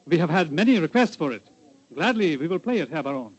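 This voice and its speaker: An actor playing a deferential, formal Viennese bandleader in 1940s radio style. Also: male voice, on the high side, very animated, fast, poor audio. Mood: obliging. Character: courteous, obliging Viennese bandleader.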